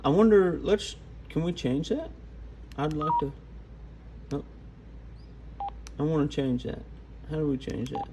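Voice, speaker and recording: Higher-pitched casual man over background noise. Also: animated, a little slow, pauses often. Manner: informal, off-the-cuff. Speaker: radio gear reviewer. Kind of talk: casual hands-on product walkthrough.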